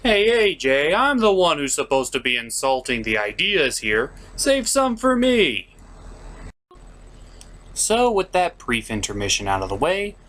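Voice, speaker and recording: Animated, sarcastic male comedy reviewer. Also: a little high. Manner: sarcastic, theatrical. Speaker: host of a cartoon review show. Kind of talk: comedic review, part scripted, part ad-libbed.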